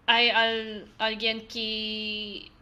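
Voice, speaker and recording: A woman hosting a relaxed chat on a video call, with a bright, clear tone. She talks at an unhurried pace, pausing to search for words and laughing often. Her voice sits a little high. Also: dull audio. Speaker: host. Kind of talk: casual multilingual chat.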